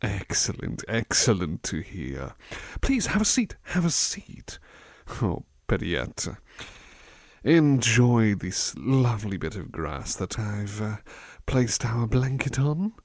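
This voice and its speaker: A man playing a gentle, doting, courteous butler in a softly spoken ASMR roleplay, with tender, polite and somewhat hesitant delivery. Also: on the low side, sing-song, slow, no echo, clean studio sound, exaggerated voice. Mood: warm, courteous.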